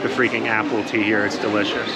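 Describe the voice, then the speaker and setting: A man talks casually to his vlog audience in a rambling, slightly hesitant way, his voice a bit low in pitch for everyday speech or close to average. Loud urban market and street noise surrounds him throughout. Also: flat delivery, quick pace, a loud crowd. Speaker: travel vlogger. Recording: very noisy outdoor urban street ambience. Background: busy market and street noise.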